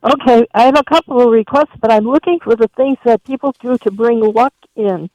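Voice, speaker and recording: A woman's voice: on the high side, a little fast, a little mellow, no room sound. A woman phones in to a radio request show, cheerful and rambling as she goes. Her voice is dry and close, with no room around it. The phone line sounds a bit dull and muffled. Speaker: caller.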